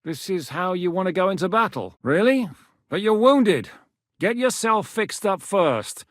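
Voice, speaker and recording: A gruff, blunt mercenary captain. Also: male voice, sits high, sing-song, a little slow, dry recording, studio quality. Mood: commanding. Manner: gruff, blunt soldier's talk with frequent swearing. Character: battle-hardened male mercenary captain, blunt and commanding. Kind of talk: game voice lines.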